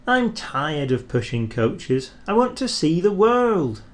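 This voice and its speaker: A man's voice: a little high, slow pace, voice pushed up. A man doing an eager little boastful tank engine. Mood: excited. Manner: proud, impatient, excitable. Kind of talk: storybook character voice.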